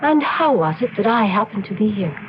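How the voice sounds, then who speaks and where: A woman's voice: mid-range voice, big pitch swings, a little fast, faint music behind, natural voice. Actress as sly, seductive mystery woman, muffled radio. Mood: knowing. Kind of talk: old-time radio drama acting. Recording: dull, noisy old radio recording.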